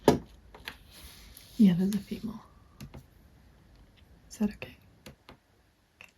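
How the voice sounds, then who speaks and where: A young woman in a gentle, encouraging ASMR roleplay, speaking in a soft breathy whisper. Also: a little slow, background noise. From England. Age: young adult. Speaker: ASMR roleplayer playing a witch recruitment agent. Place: small dim room indoors. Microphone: close microphone. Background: computer keyboard typing.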